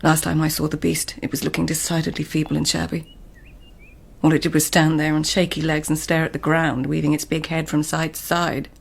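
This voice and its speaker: A woman's voice: low voice, flat delivery, a little fast, creaky, breathy edge, exaggerated voice. A woman playing a proud, melancholy Japanese court lady in a radio drama, speaking in a dry, world-weary way. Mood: wry, weary.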